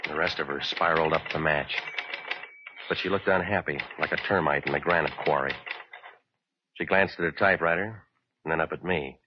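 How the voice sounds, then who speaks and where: An American actor playing a cynical, wisecracking private detective in a 1940s radio drama, terse and deadpan. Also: male voice, very deep voice, a little fast, long pauses, noisy background. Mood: dry, wry. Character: cynical wisecracking hard-boiled male private detective narrator. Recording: dull vintage radio recording with music.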